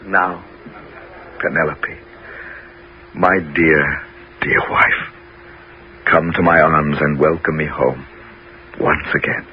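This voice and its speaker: A man's voice: a bass voice, fairly even intonation, slow, long pauses, a little hiss or hum. An actor playing a heroic king who has come home in disguise as a beggar, in a 1970s radio drama, with a commanding, declamatory delivery. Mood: tender. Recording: dull 1970s radio broadcast.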